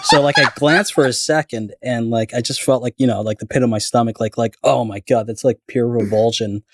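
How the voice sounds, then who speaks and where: A man's voice: mid-range voice, brisk, slight background noise. A man born in Canada telling stories in a casual podcast chat, rambling with many fillers and restarts. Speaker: guest storyteller.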